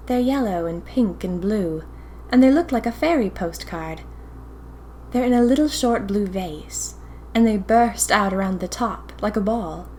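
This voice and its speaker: A young woman playing a spirited, romantic single woman of the 1920s, narrating her diary in an expressive, wistful, theatrical way. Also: mid-range voice, a little hiss or hum, lightly acted. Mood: musing, giddy. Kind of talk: dramatic first-person diary narration.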